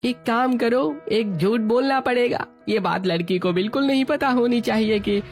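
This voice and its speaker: A man's voice: very high voice, normal speed, soft background music. A man playing a persuasive, sly marriage broker in an acted family story.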